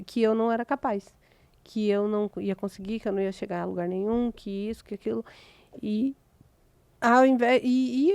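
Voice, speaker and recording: A woman's voice: mid-range voice, gravelly, mellow, dry recording. A Brazilian woman, a writer and mentor, speaking earnestly and motivationally as a podcast host. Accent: Brazilian Portuguese. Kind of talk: casual conversation.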